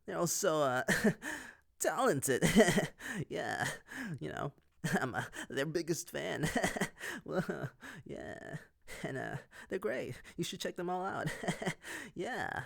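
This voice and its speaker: A man rambles casually in a halting, giggly manner. His voice is heavily gravelly and swings widely in pitch. The sound is close and dry. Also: very animated, a bit bright, no echo. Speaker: voice actor. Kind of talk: rambling casual shout-outs.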